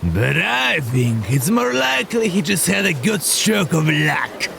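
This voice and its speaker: A man's voice: on the high side, big pitch swings, vocal fry, pushed and bright, slight background noise, heavily acted. A man playing a hulking, cocky fish-man warrior who jeers and taunts in an audio drama. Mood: smug taunting. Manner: taunting, slow swagger.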